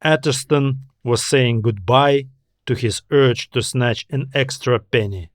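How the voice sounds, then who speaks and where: A man's voice: mid-range voice, takes it slowly, no echo, studio quality. A man reading scripted science fiction narration as an audiobook narrator.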